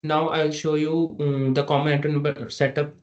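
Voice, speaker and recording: A man giving a halting, step-by-step software tutorial as a trainer over an online meeting. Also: on the high side, little pitch movement. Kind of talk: screen-share software tutorial. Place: online meeting with screen share. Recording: dull, lo-fi online meeting audio.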